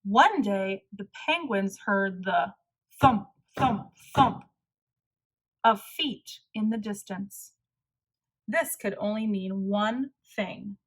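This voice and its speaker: A woman reading a children's story aloud as narrator, expressive and deliberate. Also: medium pitch, slightly rough, low-quality recording.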